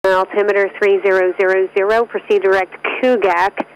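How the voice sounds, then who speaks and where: A woman's voice: mid-range voice, flat delivery, moderate pace, clear, resonant tone, poor audio. A woman working as an air traffic controller, giving terse, stern, clipped instructions over aviation radio. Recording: radio transmission with faint noise.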